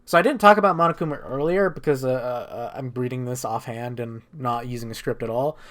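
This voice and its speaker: A man with a medium-pitched voice talks casually without a script. He rambles through a game recap as a video creator would, using loose slang and frequent profanity. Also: brisk, fairly bright tone.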